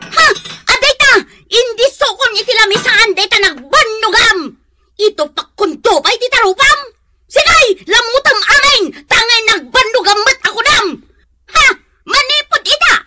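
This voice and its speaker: A woman's voice: very high voice, expressive, normal speed, sharp tone, no echo, heavily acted. A woman with an Ilocano accent playing a fed-up, scolding wife and mother with a fiery temper in a radio drama. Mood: furious.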